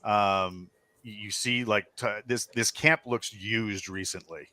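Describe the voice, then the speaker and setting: A man narrating a tabletop role-playing game as game master, improvising scenes in a halting, descriptive way. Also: lowish voice, steady tone, pushed and bright.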